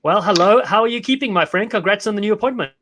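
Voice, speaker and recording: A man's voice: high-pitched, quick pace, a bit bright, a little hiss or hum. A man hosting a live online show, chatting upbeat and friendly with his guests. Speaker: host. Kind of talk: casual live show interview.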